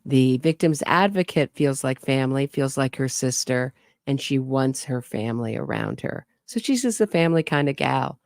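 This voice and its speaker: A woman's voice: extremely low pitch, expressive, moderate pace, few pauses, darkish tone, no room sound, clean studio sound. A woman giving skeptical, wry commentary on a true crime case and speaking straight to her audience. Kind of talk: casual commentary over interrogation clips.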